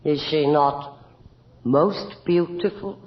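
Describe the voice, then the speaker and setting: An actress playing an imperious, cruel noblewoman in a radio horror drama, speaking in a grand, deliberate, theatrical manner. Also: deep voice. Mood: coldly coaxing. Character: imperious, cruel noble countess, delighting in blood. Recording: muffled, echoey old radio drama recording.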